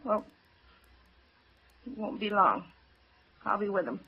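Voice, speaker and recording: A woman's voice: medium pitch, animated, takes it slowly, husky, heavily acted. An actress playing a distraught, despairing young woman on the edge, with fragile, bitter delivery in 1950s radio drama style. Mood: weary despair. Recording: dull lo-fi old radio recording.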